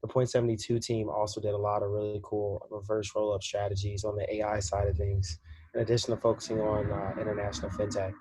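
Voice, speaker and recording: A man's voice: on the low side, level tone, fast talker, dark tone, slight background noise, rough recording. A man hosting a podcast, upbeat and informal, introducing and questioning his guest. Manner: upbeat, calls guest brother. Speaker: podcast host. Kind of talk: casual podcast interview.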